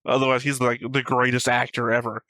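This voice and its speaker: A man's voice: mid-range voice, completely flat delivery, brisk, sharp tone, dry recording. A man co-hosting a reaction show, chatting casually about a series in an argumentative, wryly joking way. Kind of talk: casual discussion of an animated series.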